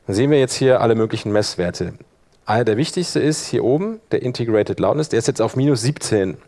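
A man presenting a live workshop, explaining software in a colloquial, hands-on way. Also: mid-range voice, lively intonation, fairly quick. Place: live workshop in front of attendees.

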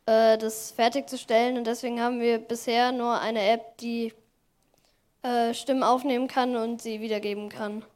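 A woman's voice: a little high, level tone, normal speed, darkish tone. A young female project participant speaking at a live event. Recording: live event with crowd noise.

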